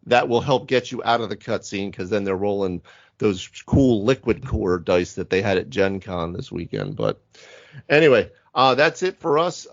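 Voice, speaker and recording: A man's voice: on the low side, no echo, dull audio. A man co-hosting a casual panel discussion on a podcast, talking in a rambling, self-interrupting way. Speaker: podcast co-host; physical therapist. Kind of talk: casual panel discussion about tabletop roleplaying games.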